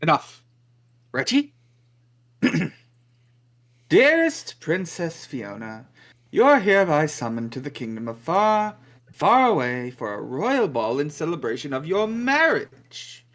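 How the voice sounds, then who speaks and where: A man's voice: a little high, big pitch swings, takes it slowly, fairly bright tone, exaggerated voice. A man voicing a pompous royal page who proclaims a summons at a table read. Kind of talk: table read of a film script.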